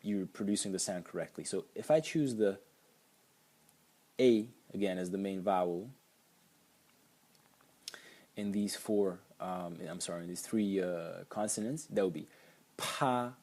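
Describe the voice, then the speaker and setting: Slovak-accented man explaining with mellow voice. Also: low voice, unhurried, pauses often. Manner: hesitant, with many ums and restarts. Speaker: language teacher. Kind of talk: casual explanatory screen lesson.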